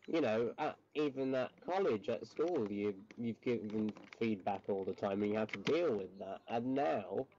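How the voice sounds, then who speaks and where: A man's voice: on the low side, animated, moderate pace, hardly any pauses, darkish tone, lo-fi sound. A man chatting casually as a friend, hesitant and agreeing. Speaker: co-host friend. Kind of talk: casual chat.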